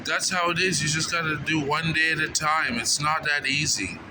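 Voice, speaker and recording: Male street interviewer, higher register, earnest and repetitive, recorded amid noticeable city traffic. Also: steady tone, moderate pace. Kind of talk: informal street interview and personal commentary.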